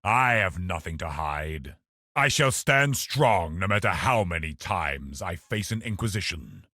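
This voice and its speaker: A cold, scheming religious officer of a video game villain. The voice is very deep and rough-edged, with slow, formal, calculating delivery that swings widely in pitch. It is recorded close and dry. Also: male voice, lively intonation, bright, forceful tone, no echo, full character voice. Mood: cold, defiant. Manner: measured, haughty, calculating, formal. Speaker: game boss character. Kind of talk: dramatic video game voice acting.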